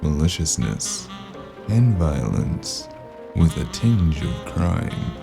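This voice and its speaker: A man playing a weary, sardonic noir investigator in an audio drama, gravelly and deadpan. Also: extremely low pitch, slow pace, music in the background, full character voice. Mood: deadpan, irritated. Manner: dry, deadpan. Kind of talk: noir audio drama acting and first-person narration.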